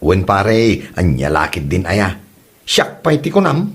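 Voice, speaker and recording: Lively young man with a low, husky voice. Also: a little slow. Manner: lively and teasing, laughs often. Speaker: young son turned tricycle driver. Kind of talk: comedic audio drama acting. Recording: music bed behind the voice.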